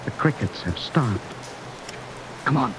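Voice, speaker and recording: A haunted, suspicious ship's doctor in a vintage radio play. His pitch moves dramatically through each phrase, and the old recording is very noisy, with rain behind. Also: lively intonation, slow. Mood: brooding, suspicious. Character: haunted, suspicious male doctor on a space crew. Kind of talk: 1950s radio drama acting.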